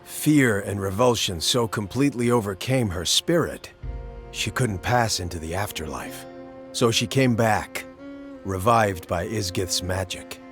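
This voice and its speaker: Low-voiced, blunt monster hunter. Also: male voice, unhurried. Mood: grim storytelling. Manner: laconic, matter-of-fact, clipped colloquial phrasing. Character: world-weary, blunt male monster-hunting mercenary. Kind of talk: fantasy game dialogue. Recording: background music under the dialogue.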